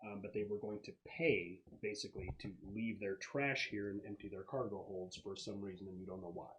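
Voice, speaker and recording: A man's voice: lowish voice, steady tone, brisk, a bit bright, low-quality recording. A man narrating a tabletop roleplaying game as game master, explaining things in a casual, improvised way.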